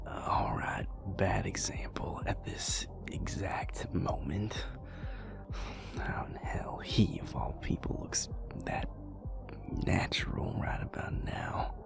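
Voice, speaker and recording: A man playing a gruff but tender vampire boyfriend in an intimate audio roleplay, murmuring breathily and close to the listener in a gravelly drawl. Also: sits low, big pitch swings, slow, in a whisper, heavily acted. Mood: reluctant, grumbling. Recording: music playing behind the voice. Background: loud club music.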